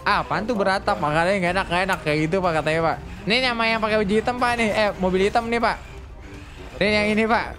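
A man's voice: high voice, steady tone, racing, fairly bright tone, soft background music. A man with a colloquial Jakarta accent doing casual, joking, teasing gameplay commentary for viewers in slangy speech. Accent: Jakarta-style colloquial Indonesian. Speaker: gaming video creator commentating for viewers.

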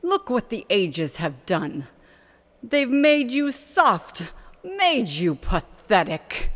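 A man's voice: sits high, very animated, very slow, a bit bright, voice pushed up, heavily acted. A man voicing an ageless, evil immortal queen in an audiobook, with a scornful, imperious manner. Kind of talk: audiobook character voice. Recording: long hall-like reverb.

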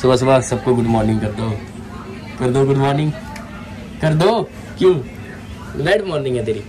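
A man's voice: mid-range voice, moderate pace, darkish tone, rough recording. A young man from the Jammu region chatting upbeat to his viewers as he films on a moving train with a handheld phone. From Jammu region, India. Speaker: travel vlogger. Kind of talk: casual vlog narration to viewers. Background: background music over train noise.

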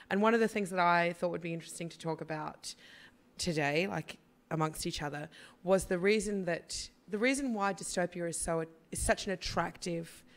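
Husky-voiced Australian woman on a panel. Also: lowish voice. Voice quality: husky from a cough. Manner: chatty, wry, many fillers. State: has a cough. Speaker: panelist, writer. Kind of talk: casual panel talk. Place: theatre stage before an audience. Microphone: stand microphone.

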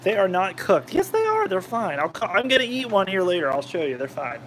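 Chatty high-voiced man, lively. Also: a little fast, noisy background. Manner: rambling, jokey, answers live chat. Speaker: cooking vlogger. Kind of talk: casual cooking livestream chat. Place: home kitchen.

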